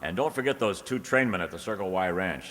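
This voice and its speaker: A man's voice: low voice, animated, brisk, a bit bright. An actor playing a confident, courteous male newspaper reporter in a radio serial. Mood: assured. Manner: polite, assured. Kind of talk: old-time radio serial acting. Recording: echoey hall.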